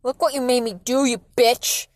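A furious, bullying father acted out by a woman reading a story. The voice is fairly high and shouts with contempt and menace, in a very dry recording. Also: measured pace, dry recording. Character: furious, menacing abusive father, a man with fire powers. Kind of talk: dramatic fan fiction reading.

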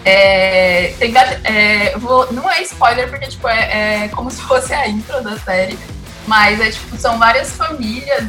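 A young woman with a Brazilian accent chatting casually as a podcast panelist. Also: medium pitch. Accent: Brazilian Portuguese. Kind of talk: casual chat.

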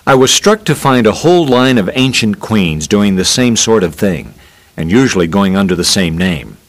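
A man reading a scripted scholarly essay aloud in a measured, formal and expressive narrating style. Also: deep voice. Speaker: reader of a scholarly talk.

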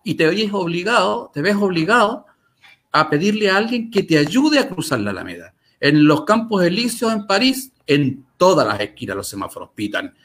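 A man's voice: high-pitched, very animated, moderate pace, a bit bright. A man with a Chilean Spanish accent talking conversationally as an interview guest, articulate and emphatic, repeating phrases to drive points home. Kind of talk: conversational storytelling and commentary.

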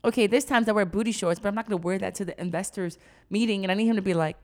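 A woman's voice: mid-range voice, rapid-fire. A woman co-hosting a podcast in casual, slangy conversation, telling stories emphatically and acting out what people say. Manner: animated storytelling with acted-out quotes, emphatic slangy delivery. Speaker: podcast co-host.

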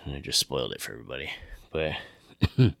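A man's voice: a bass voice, animated, fast, gravelly, a bit bright, no room sound, clean studio sound. A man hosting a podcast in casual, informal chat, swearing freely. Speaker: podcast host.

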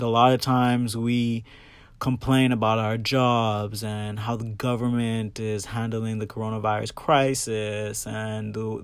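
A man's voice: on the low side, dry recording. A man from the Bahamas hosting a solo podcast, talking casually and emphatically and often checking in with his listeners. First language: English. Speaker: podcast host. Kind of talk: personal solo podcast talk, casual and conversational.

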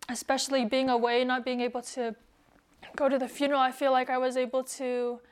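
A young woman from the United States, soft-spoken and hesitant, telling of her missionary years in a casual interview. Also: high voice, little pitch movement, fairly quick, clean tone. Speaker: returned missionary guest.

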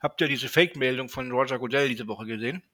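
Bright-voiced male co-host. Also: medium pitch, quick pace. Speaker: podcast co-host. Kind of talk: casual podcast chat.

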